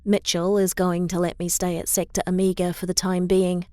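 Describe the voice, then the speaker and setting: A woman's voice: fairly low pitch, flat delivery, moderate pace, a little mellow, dry recording, lightly acted. Woman acting a guilt-ridden young heroine, dry sound. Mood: downcast. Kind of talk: superhero audio drama acting.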